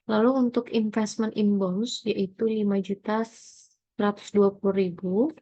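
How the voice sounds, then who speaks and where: A young woman giving an informal step-by-step tutorial, halting and hesitant as she thinks aloud. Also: mid-range voice, fairly even intonation, dark tone, no echo, muffled recording. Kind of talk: informal accounting tutorial walkthrough.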